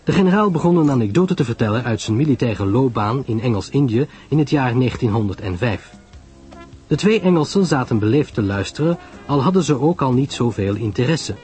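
A man's voice: mid-range voice, animated, normal speed, a little mellow, soft background music. A man narrating a dramatised story from a script, as the storyteller between dialogue scenes.

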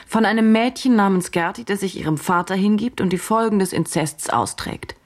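An actress playing a probing, reflective woman journalist in a radio drama, with a deliberate, brooding delivery. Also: medium pitch, close to an everyday voice. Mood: self-questioning, confessional.

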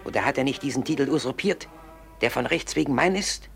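An actor playing an envious, scheming robber in a radio drama, speaking in an insinuating, conspiratorial way. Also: male voice, mid-range voice, animated, brisk, vocal fry, a bit bright, faint music behind. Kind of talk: classic German radio drama acting.

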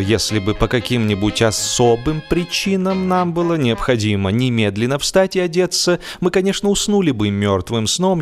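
A man reading humorous literary fiction as an audiobook narrator, in an expressive, theatrical storytelling manner. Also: medium pitch.

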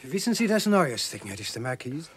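An actor playing a gossipy, flattering society abbé in a German radio play, suave, ironic and insinuating. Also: male voice, medium pitch, moderate pace, noisy background, close to an everyday voice. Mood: suave, mocking.

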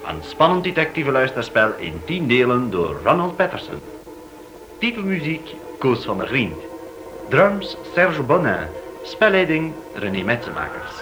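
A man's voice: medium pitch, very animated, a little slow, low-quality recording. A man announcing the titles and credits of a radio drama in a scripted style. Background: clear theme music.

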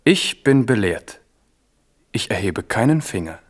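Listiger junger König, sehr lebhafte Sprachmelodie. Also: male voice, fairly low pitch, very animated, a little slow. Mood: smooth, guarded. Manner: theatrical, ingratiating. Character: wily young captive king feigning eager submission. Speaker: radio drama actor. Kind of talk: 1970s German radio play acting.

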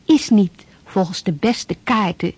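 An actress narrating a historical radio play, formal, measured and rhetorical. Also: female voice, medium pitch, a little mellow, husky, no room sound. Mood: stately. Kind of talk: scripted narration. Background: music.

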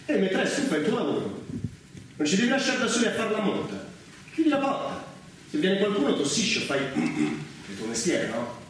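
An actor playing a jealous general in a stage tragedy, swinging from hushed menace to shouting at his wife. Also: high voice, huge pitch swings, normal speed. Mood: menacing, accusing. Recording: very echoey, distant, noisy stage recording.

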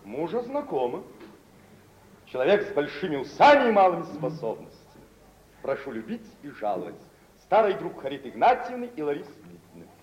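An actor playing a suave, self-assured gentleman shipowner in an old Russian radio drama, ironic and smoothly condescending, in a theatrical manner. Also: fairly high pitch, very animated, brisk. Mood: playful, mocking. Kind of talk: mid-20th-century Russian radio theatre acting. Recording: muffled, hissy old radio drama recording.

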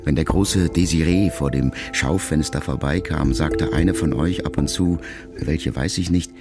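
A man reading a literary memoir aloud as an audiobook, in a calm, measured first-person voice. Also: a bass voice, over music. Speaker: audiobook narrator.

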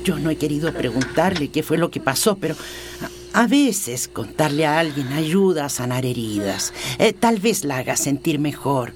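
Low-voiced gentle old grandmother. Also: expressive, moderate pace, noisy background. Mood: soothing. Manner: hushed, comforting, affectionate. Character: gentle old village grandmother, protective and soothing. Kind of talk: radio drama acting. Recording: music behind the voice.

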